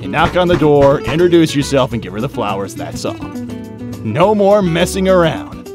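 Over music, a man plays a shy young cartoon hedgehog hopelessly in love. He stammers and scolds himself, his pitch jumping around in a very animated way. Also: moderate pace. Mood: anxious, determined. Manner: nervous, stammering, self-scolding. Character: shy, lovestruck, nervous young male hedgehog admirer. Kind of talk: animated comic dub acting. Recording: music bed behind voice.

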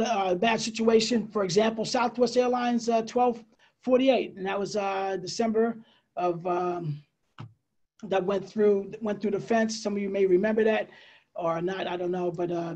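A middle-aged man with an American accent explains slides as an air traffic controller, conversational and a bit halting, with pauses and asides. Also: sits high, fairly even intonation, a little mellow. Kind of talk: informal slide presentation, explanatory. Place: indoors, on a video call.

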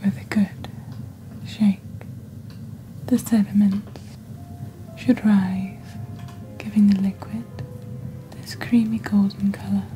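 A young woman playing a composed witch who presents a shopping channel in soft, breathy, half-whispered ASMR. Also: medium pitch, level tone, soft background music, close to an everyday voice, poor audio. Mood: composed, mysterious. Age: young adult. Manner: slow, deliberate, measured. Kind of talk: ASMR roleplay, scripted product presentation.

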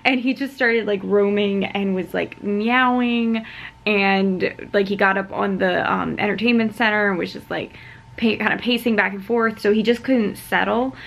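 Young woman vlogger with creaky voice. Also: mid-range voice, fairly even intonation, a bit bright. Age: 20s. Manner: informal, halting, conversational. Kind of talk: casual chat to camera. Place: hotel room, indoors.

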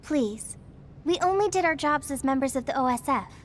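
Girlish anime game heroine soldier, very high voice, sincere, resolute yet self-doubting. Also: lively intonation, normal speed, a little hiss or hum. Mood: earnest. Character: earnest young woman soldier, determined but self-doubting.

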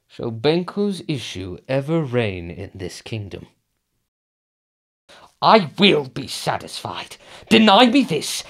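A desperate, ruthless king, played by an actor, declaiming intensely in classical radio-play style. His delivery is slow, with a very animated pitch that rises and plunges. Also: heavily acted. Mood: defiant. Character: tormented, commanding warrior king, desperate and ruthless. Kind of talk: Shakespearean radio drama acting.

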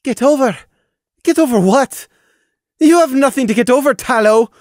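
A man voicing a bitter, hurt young mare who snaps and shouts in a high, shrill voice. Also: animated, moderate pace, no room sound, studio quality. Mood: furious.

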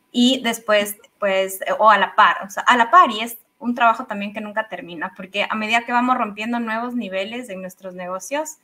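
A woman in her thirties from Ecuador with an Ecuadorian Spanish accent, a podcast guest chatting expressively about her coaching work over a video call. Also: mid-range voice. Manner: expressive, enthusiastic, many fillers and restarts. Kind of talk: casual interview chat. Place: home office with a big microphone. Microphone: studio microphone on video call.

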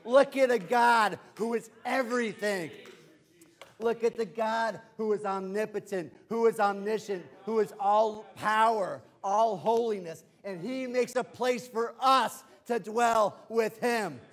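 Fervent preacher man, loud then hushed. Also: a little slow. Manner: fervent preaching, swinging from shouts to hushed low tones. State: losing his voice. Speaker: pastor. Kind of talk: sermon. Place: church sanctuary.

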